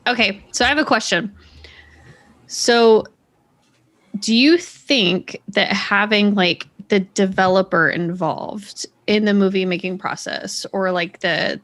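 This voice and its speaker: A woman's voice: medium pitch, takes it slowly, a bit bright. Female podcast host, middle register, casually steering a group conversation with questions.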